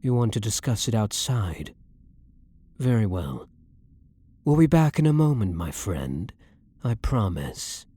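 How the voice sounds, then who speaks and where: Man playing a vampire hunter, blunt, brooding, husky, expressive swings in pitch, formal measured phrasing, ASMR roleplay drama. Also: exaggerated voice. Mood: commanding, probing.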